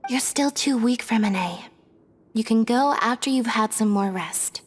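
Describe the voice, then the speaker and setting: Woman voicing a calm, higher-pitched young woman. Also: unhurried, a little hiss or hum, close to an everyday voice. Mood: calm concern. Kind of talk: English game dub acting.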